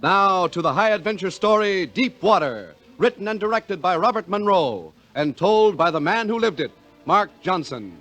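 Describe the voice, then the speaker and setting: A man's voice: high voice, lively intonation, moderate pace, a bit bright, faint music behind. A man with an American accent announcing in a polished, emphatic 1950s radio style, reading scripted copy. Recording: vintage 1950 radio recording.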